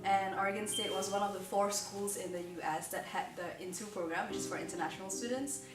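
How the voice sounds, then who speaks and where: A young woman talks casually at a middle pitch, dropping in "like" often. She is recorded from a distance in an echoey room, with music underneath. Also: steady tone, quick pace. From Malaysia. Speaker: student. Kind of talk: documentary interview answers.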